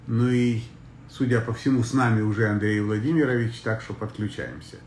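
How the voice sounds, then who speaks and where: A man in his sixties, a pediatrician hosting a live stream from his home study, talking in a warm, effusive, enthusiastic way. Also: on the low side, a little fast, slight background noise. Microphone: webcam or phone on a video call.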